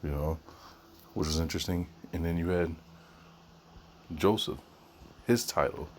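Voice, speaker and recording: A man's voice: deep voice, unhurried, darkish tone, a little hiss or hum. A man hosting, commenting casually on a text being read aloud, musing and conversational. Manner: musing, conversational, with fillers and asides.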